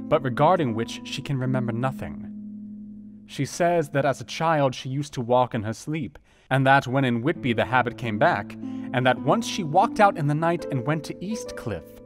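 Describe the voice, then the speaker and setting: A man performs a Victorian doctor in a radio play, earnest and formal. He delivers his letter at a measured step in a middling register. Plucked music plays behind him. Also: mid-range voice, natural voice. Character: earnest male Victorian doctor writing to a friend. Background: music with plucked guitar.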